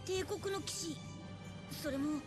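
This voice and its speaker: A woman's voice: high voice, normal speed, soft background music, close to an everyday voice. An actress voicing an earnest young boy adventurer in a Japanese video game. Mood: shaken, remorseful.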